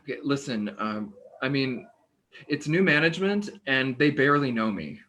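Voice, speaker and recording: An actor playing an awkward, polite young hospital worker who hesitates and stammers in a naturalistic play reading. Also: male voice, medium pitch, expressive, normal speed, pushed and bright. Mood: uneasy, evasive. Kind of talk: naturalistic stage dialogue reading.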